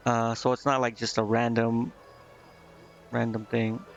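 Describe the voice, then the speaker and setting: A man's voice: mid-range voice, completely flat delivery, measured pace, soft background music. A man giving casual game stream commentary in a hesitant, vague, meandering way. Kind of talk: casual rambling game stream commentary, reading dialogue aloud. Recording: dull lo-fi mic with clear background noise.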